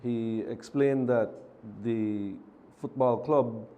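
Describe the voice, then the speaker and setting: A man who is a city mayor, speaking as a TV studio guest in measured, formal terms. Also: mid-range voice, steady tone, dull audio. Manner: measured, formal civic phrasing. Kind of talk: TV interview guest.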